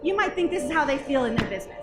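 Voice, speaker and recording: A woman with a strikingly high voice speaks from a conference stage, a little hoarse and clearing her throat now and then. She delivers an animated, jokey keynote, checking in with the crowd with quick "right?" asides. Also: loud music behind the voice. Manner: animated, jokey, asks the audience questions. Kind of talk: motivational keynote talk.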